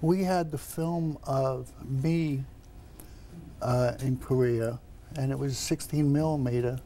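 An older man recalls the past slowly and haltingly. His voice is mellow and creaky, with faint noise in the studio recording. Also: mid-range voice, fairly even intonation. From Brooklyn, New York. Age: 70s. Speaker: interview guest. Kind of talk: reminiscing in a TV interview. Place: television studio. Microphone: lapel mic.

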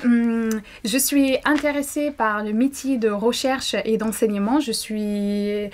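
A woman's voice: a little high, fairly even intonation, slow, a little hiss or hum. A young woman whose first language is Arabic, a doctoral student answering interview questions to camera, hesitant, with many fillers and restarts. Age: young adult. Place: indoor room.

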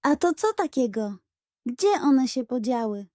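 A woman acts out a cowardly little koala from a bedtime storybook. The voice is very high and shaky, full of panicky squeaks and moans. He is clumsy and easily frightened, heard up close in a dry recording. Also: very animated, measured pace, warm tone, no echo. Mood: bewildered.

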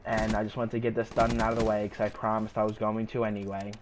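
A man's voice: lowish voice, monotone, fast talker. A young man with a sore, scratchy throat, rambling casually with lots of fillers while he plays a game. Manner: rambling, hesitant, filler-heavy. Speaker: gaming YouTuber. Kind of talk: casual gameplay commentary.

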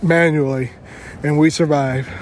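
A young man telling a travel story to his phone camera outdoors, animated and playing it up for effect, with plenty of fillers. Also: fairly high pitch, measured pace, slight background noise. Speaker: travel vlogger. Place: outdoors among trees.

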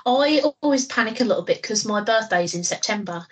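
A woman with a husky, stuffy-nosed voice from a cold chats on a podcast. She talks in a loose, giggly way and swears freely. Her voice sits at an ordinary pitch for a woman. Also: lively intonation, a little fast. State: nasal from a cold. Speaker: podcast co-host. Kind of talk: casual chat.

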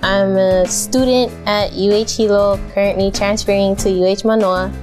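A woman's voice: medium pitch, fairly even intonation, normal speed, darkish tone, faint music behind. A 23-year-old woman talking in a casual, chatty interview. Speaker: contestant.

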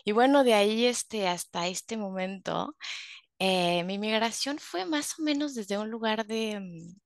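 A Mexican woman in her forties talks casually about her life, with vocal fry in her voice. She is halting, leaning on fillers and little tag questions. The headset sound is dry, with no room echo. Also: medium pitch. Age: 40s. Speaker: migrant support worker. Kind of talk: casual personal storytelling on a video call. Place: living room indoors. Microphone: headset on a video call.

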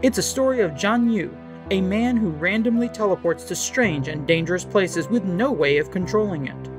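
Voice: medium pitch, expressive, normal speed. An announcer reading scripted credits for an audio drama podcast. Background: music.

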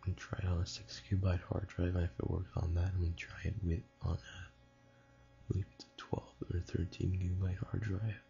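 A man whispering a casual late-night tech vlog in a halting way, as if thinking aloud. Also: low-pitched, little pitch movement, creaky, warm tone, highs cut off, faint music behind. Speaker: amateur tech vlogger.

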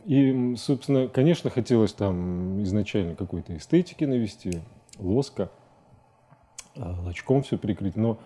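A middle-aged man talking reflectively about his work as an interview guest in a studio, with halting phrasing. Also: fairly low pitch, mellow. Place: TV studio, seated at a desk.

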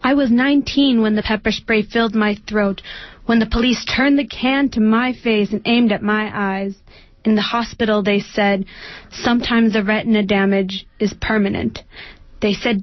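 Grave young protester, high voice, played by a woman. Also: dry recording, close to an everyday voice. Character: wounded nineteen-year-old pepper spray victim, recounting gravely. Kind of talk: readers theater monologue. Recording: dull, dry lo-fi radio recording.

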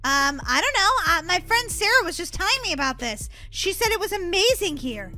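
High-pitched, excitable woman gamer. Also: a little fast, soft background music. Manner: exclamatory, squealing, dramatic, girlish. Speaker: gaming video host. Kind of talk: excitable game commentary.

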